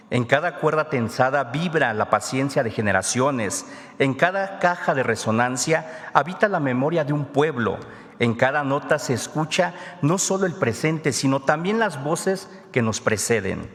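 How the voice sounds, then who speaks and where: A man whose first language is Ayuuk, reading a solemn scripted segment as presenter at a press conference. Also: medium pitch. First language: Ayuuk (Mixe). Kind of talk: read scripted narration. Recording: reverberant hall.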